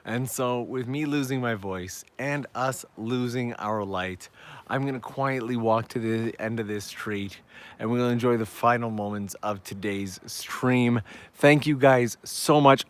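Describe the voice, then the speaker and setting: A man hosting a live travel stream outdoors, chatting upbeat to viewers while walking, his voice going hoarse. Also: medium pitch, unhurried. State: losing his voice. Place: outdoors on town backstreets at evening.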